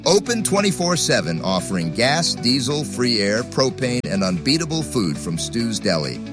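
A man giving a steady, friendly read of a local radio ad. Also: mid-range voice, moderate pace, over music. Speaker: ad announcer.

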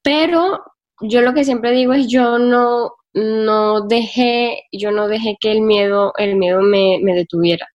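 A woman's voice: on the high side, fairly even intonation, unhurried, darkish tone, dull audio. A woman from Colombia's Caribbean coast with that region's Spanish accent, telling her experiences candidly in a casual interview and repeating words for emphasis. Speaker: interview guest, solo traveler and travel writer.

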